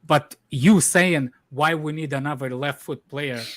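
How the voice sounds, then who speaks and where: A man's voice: a little high, a little slow, crowd noise. A man co-hosting a casual football chat, cutting in and trailing off with hesitant filler. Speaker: podcast co-host.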